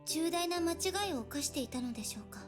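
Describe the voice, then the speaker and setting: A polite, quietly determined young girl from a visual novel, her voice pitched high and her lines quick. She speaks formally and softly, with faint game music underneath. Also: expressive. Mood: earnest. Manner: formal, soft-spoken.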